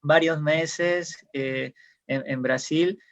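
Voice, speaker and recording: A man's voice: on the high side, steady tone, extremely slow, fairly bright tone. A man from Peru, a guest explaining ideas in casual conversation, hesitant with many fillers and restarts, heard over a video call.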